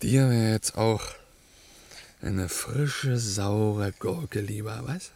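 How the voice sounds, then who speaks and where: An actor playing a talkative, teasing truck driver with a folksy manner in a radio play. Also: male voice, fairly low pitch, very slow, a little mellow, full character voice. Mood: chatty, boastful. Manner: folksy, talkative, tags sentences with questions. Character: chatty, teasing truck driver and family man. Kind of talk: German radio play acting. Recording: clear vehicle and outdoor noise behind.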